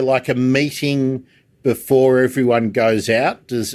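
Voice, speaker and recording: Inquisitive Australian man hosting a chat. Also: medium pitch. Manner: inquisitive, rambling, self-deprecating. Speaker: livestream host and interviewer. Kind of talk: casual interview chat.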